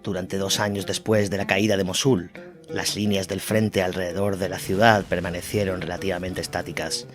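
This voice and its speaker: A man's voice: low voice, flat delivery, normal speed. A man narrating a scripted documentary war account. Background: guitar music.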